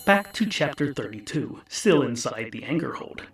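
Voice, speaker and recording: A man's voice: medium pitch, very animated, normal speed. Wry male fantasy narrator over music. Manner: wry, dramatic storyteller. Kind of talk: scripted comic fantasy narration.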